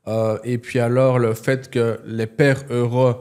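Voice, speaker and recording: A man speaking French as a second language, with a warm, mellow voice. He answers interview questions as a company representative, halting now and then while he searches for the right word. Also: medium pitch, level tone, clean tone. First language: not French. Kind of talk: business interview.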